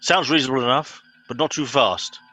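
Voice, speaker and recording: A man's voice: mid-range voice, a little fast, sharp tone. A man playing a pompous, impatient English spaceship captain in a comic radio play. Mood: officious. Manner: pompous, officious, exasperated.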